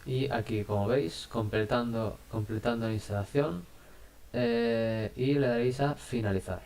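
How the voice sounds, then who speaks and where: A man with an accent from Spain giving a casual, unscripted software tutorial in a hesitant, halting way. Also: mid-range voice, animated. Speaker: tutorial host.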